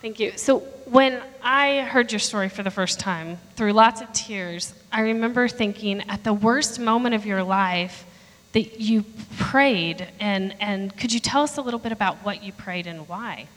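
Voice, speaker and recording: A woman's voice: medium pitch, unhurried, a bit bright. A woman interviewing guests on stage and asking encouraging questions. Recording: long reverb of a hall.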